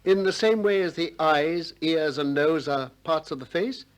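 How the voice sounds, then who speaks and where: An actor playing a shrewd, probing Athenian philosopher in a 1960s radio drama, deliberate and courteous with polite irony. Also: male voice, fairly high pitch, lightly acted. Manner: deliberate, politely ironic, questioning.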